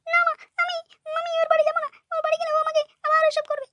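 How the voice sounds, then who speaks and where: A naive young married daughter from a cartoon comedy, complains in an extremely high voice. She talks quickly and plaintively, with a dry, close sound. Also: little pitch movement, pushed and bright, no room sound.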